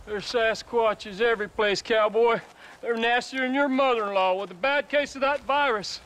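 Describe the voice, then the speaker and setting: An actor playing an excitable old frontier hunter in a video game, rambling and shouting. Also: male voice, very high-pitched, moderate pace, rough recording. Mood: frantic. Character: frantic old male frontier hunter, wild-eyed. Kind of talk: video game cutscene acting.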